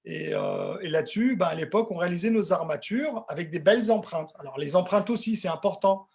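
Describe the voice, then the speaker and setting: A middle-aged man presents an informal technical webinar in a fairly high, husky voice. He speaks conversationally, as a working technician explaining his craft, and repeats words to drive points home. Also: expressive, a little fast, darkish tone. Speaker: dental technician giving a webinar.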